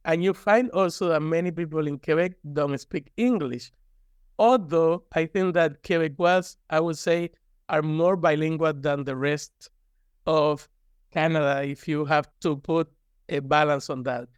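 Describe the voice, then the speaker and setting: Male interview guest, Cuban accent, native Spanish speaker. Clear, smooth tone, candid conversational delivery, dry close recording. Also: on the high side, unhurried, no room sound. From Cuba. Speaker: guest, university professor.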